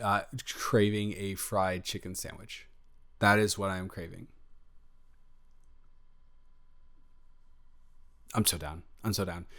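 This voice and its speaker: A man's voice: fairly low pitch, natural voice. A man as a flustered, stammering, smitten young boyfriend. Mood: playful, excited. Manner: stammering, halting, trailing off and correcting himself. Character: eager, smitten, flustered young boyfriend, sweet and easily overwhelmed. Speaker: audio roleplay performer. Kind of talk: improvised intimate boyfriend audio roleplay.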